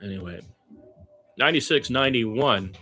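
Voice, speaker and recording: Casting: male basketball play-by-play commentator, average pitch, informal and partisan, excitable when the action peaks. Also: moderate pace, faint music behind. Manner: candid, colloquial, excitable on big plays.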